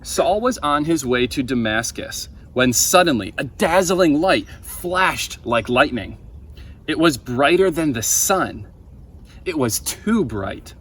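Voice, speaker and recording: A young man reading a children's Bible story aloud in a deliberate, expressive way, with emphatic pauses. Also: a little high, breathy edge. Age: young adult. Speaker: storybook reader for a camp story time. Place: outdoors on a wooden deck by a pond. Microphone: camera a few feet away. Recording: outdoor ambient noise.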